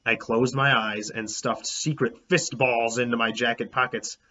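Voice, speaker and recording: Bright-voiced man reading a children's story. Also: mid-range voice, steady tone, a little slow, dull audio. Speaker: teacher reading a children's book aloud. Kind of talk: expressive storybook read-aloud in a child narrator's first person.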